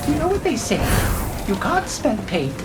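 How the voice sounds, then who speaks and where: A woman's voice: high voice, wildly swooping pitch, moderate pace. A woman voicing a forgetful, whimsical god of the forge in a video game. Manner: whimsical, dreamy. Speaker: game character. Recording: game audio with music underneath.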